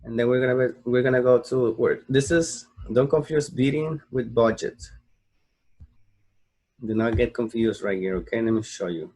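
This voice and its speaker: A man's voice: medium pitch, moderate pace, darkish tone, poor audio. A young man from the United States explains a screen-recorded tutorial, rambling through fillers and restarts and checking in with "okay?" as he goes. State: sleepy. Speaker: online marketing tutor.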